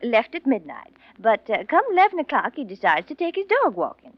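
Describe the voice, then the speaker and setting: Chatty, animated folksy landlady. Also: on the high side, fairly quick, no echo. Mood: chatty, rambling. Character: chatty, folksy landlady recalling an odd lodger. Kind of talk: 1940s radio mystery acting. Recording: dull vintage radio recording.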